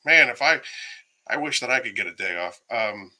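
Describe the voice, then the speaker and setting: A man's voice: mid-range voice, lively intonation, normal speed, sharp tone. A man hosting a casual livestream, rambling with many fillers and greeting viewers by name while he loses his voice. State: losing his voice. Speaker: livestream host. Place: home, by himself.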